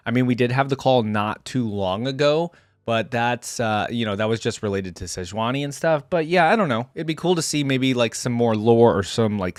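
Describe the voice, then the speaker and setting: A man's voice: mid-range voice, no room sound, clean studio sound. A man hosting a reaction video, reading lore aloud with casual, informal asides.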